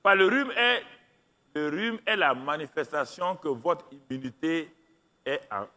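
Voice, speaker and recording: Man preaching, bright animated voice, big echoey church hall. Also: a little high. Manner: emphatic preaching, repeats key phrases slowly. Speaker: pastor. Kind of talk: sermon with live interpreter. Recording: long hall reverb.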